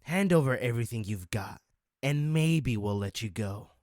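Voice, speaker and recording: A man's voice: mid-range voice, expressive, moderate pace, a little mellow, no echo, studio quality, natural voice. Man as a condescending, threatening street bandit. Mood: menacing. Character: menacing street bandit demanding valuables. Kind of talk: anime fan dub acting.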